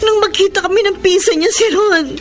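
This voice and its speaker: A very high, tearful female voice with a Filipino accent, a lovesick woman who feels guilty and begs forgiveness. It is radio melodrama with faint music underneath. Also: brisk. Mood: regretful, explaining. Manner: tearful, pleading, faltering. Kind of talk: Philippine radio drama acting.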